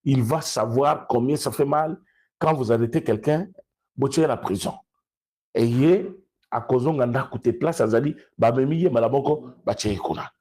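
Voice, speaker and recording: A man with a Congolese accent and a husky voice, giving emphatic, unscripted political commentary and repeating phrases for stress. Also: medium pitch, unhurried, warm tone. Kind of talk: unscripted political commentary, switching between two languages.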